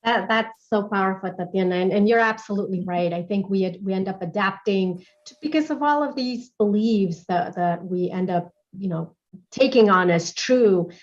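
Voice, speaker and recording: A woman's voice: medium pitch, normal speed. Lady moderating a panel, thanks everyone, kinda muffled lo-fi sound. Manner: warm, affirming, thanks speakers and hands over questions.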